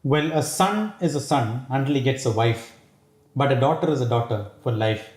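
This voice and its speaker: A man hosting an interview show, delivering a scripted introduction and questions in a formal, measured way. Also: medium pitch, darkish tone. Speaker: interview host. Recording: roomy echo.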